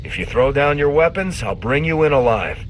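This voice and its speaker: An actor playing a stern, principled army lieutenant in a video game, speaking with controlled resolve. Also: male voice, fairly high pitch, animated, moderate pace, a little hiss or hum, close to an everyday voice, rough recording. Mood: stern, firm. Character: stern, principled male soldier hero, a lieutenant.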